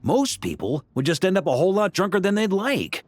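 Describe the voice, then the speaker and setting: A man's voice: sits high, sing-song, fairly quick, no echo. A man voicing a jovial, hard-drinking veteran knight commander in a video game, easygoing and boastful in conversation. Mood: wry, confident. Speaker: video game character voice actor. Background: game music.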